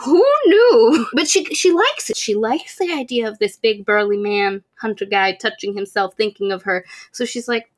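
Young female podcast host, higher register, very expressive intonation, giggly casual storytelling. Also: normal speed, few pauses. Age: young adult. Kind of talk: casual book recap with acted-out dialogue. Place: indoors, room with bookshelves.

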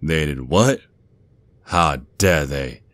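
A man playing a protective werewolf father and gruff sergeant with a Southern US accent, in an ASMR roleplay with a folksy drawl. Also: very deep voice, fairly bright tone, no room sound, exaggerated voice. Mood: alarmed, indignant. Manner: doting, folksy, slow drawl with pet names.

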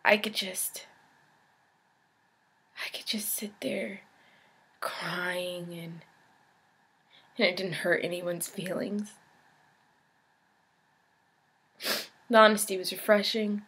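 Woman acting a despairing young woman, bright-voiced. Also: a little high, steady tone. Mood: quiet, weary. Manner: halting, emotional, swinging from hushed to anguished. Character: despairing suicidal young woman baring her pain in confession. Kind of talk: dramatic stage monologue.

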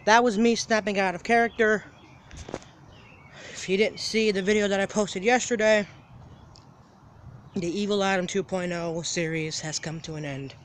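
High-voiced teen guy vlogging outdoors. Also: fairly even intonation, moderate pace, long pauses, fairly bright tone, slight background noise. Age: teens. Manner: rambling, repeats himself, restarts sentences. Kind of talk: casual daily vlog. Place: outdoors by a parking lot and lawn. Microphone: phone held at arm's length.

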